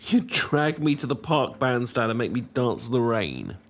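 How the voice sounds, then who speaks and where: A young man with a British accent performs an intimate roleplay as if chatting to someone close. His delivery is playful and affectionate, with gentle teasing. He often hesitates bashfully, which makes the scripted lines sound like casual conversation. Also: mid-range voice, sing-song, normal speed, a little hiss or hum.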